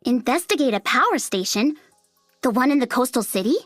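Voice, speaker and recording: A sassy, grumbling young woman soldier in a video game. Her voice is high and very animated, dramatic and sarcastic, recorded dry with faint music behind. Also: brisk, dry recording. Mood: weary, griping. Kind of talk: English video game voice acting.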